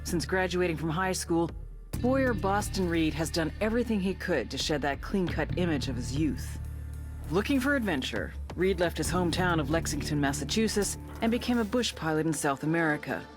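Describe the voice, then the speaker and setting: A woman's voice: on the low side, expressive, normal speed, lightly acted. An actress playing a tough, sardonic female intelligence agent, narrating a game briefing in a wry, foul-mouthed way over music. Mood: sardonic, storytelling. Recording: music behind the voice.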